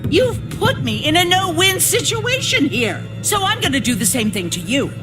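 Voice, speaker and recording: An actress playing a cold, ruthless survivor town leader in a video game, theatrical, menacing and mock-sincere. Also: female voice, extremely high pitch, very animated, moderate pace, over music. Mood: stern, vindictive. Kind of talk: dramatic video game acting.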